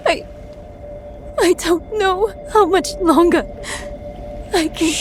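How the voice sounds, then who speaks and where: A woman's voice: very high-pitched, takes it slowly, slight background noise. A woman playing an anguished, defiant young heroine in a dramatic game cutscene. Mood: strained resolve. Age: young adult.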